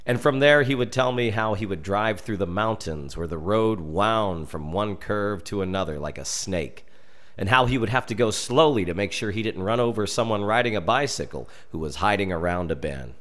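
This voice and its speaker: An American man reading a darkly humorous story aloud in an expressive, measured and dramatic storytelling manner. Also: fairly low pitch. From United States. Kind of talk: expressive scripted narration of a humorous dark tale.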